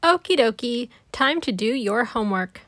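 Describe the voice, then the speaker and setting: A woman voicing a fussy, proper grown-up man, an easily flustered babysitter in a children's storybook, in a prim, drawn-out way. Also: a little high, expressive, a bit bright, no room sound, clean studio sound. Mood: coaxing. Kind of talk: children's storybook read-aloud.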